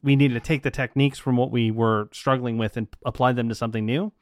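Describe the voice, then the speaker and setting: A man's voice: medium pitch, fairly even intonation, quick pace, a little hiss or hum. A man who coaches writers, talking earnestly in a casual chat and laughing between points. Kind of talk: casual conversation.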